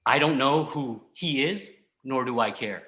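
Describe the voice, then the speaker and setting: A man's voice: medium pitch, unhurried, bright, forceful tone, reverberant. A man playing a bereaved, hostile office boss in a comedy table read, curt, indignant and dramatic. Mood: angry, menacing.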